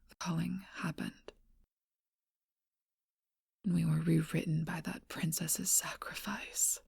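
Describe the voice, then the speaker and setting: A woman voicing an ancient, lonely, seductive female vampire in a whispered ASMR role-play, speaking slowly and softly into a close mic. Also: low-pitched, flat delivery, gravelly, husky, no room sound, full character voice. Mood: mournful whisper. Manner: soft intimate whisper, slow and measured.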